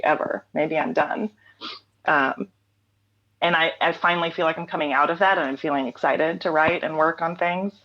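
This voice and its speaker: Low-voiced woman chatting as a guest, thoughtful and candid, kinda dull lo-fi call audio. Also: fairly bright tone. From North Carolina. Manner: reflective, candid, frequent ums and restarts. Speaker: interview guest, singer-songwriter. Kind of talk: casual conversational interview.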